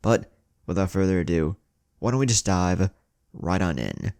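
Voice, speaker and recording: A low-pitched man reads a story as a narrator, with a gravelly edge to his voice. His pitch moves around in a lively way, and music plays behind him. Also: moderate pace, dry recording, clean studio sound. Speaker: channel host and narrator. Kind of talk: fan fiction audiobook narration.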